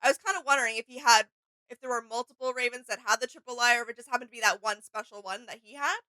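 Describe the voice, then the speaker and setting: A woman's voice: on the high side, racing, sharp tone, dry recording, poor audio. A woman co-hosting a reaction video in a casual, agreeable chat style.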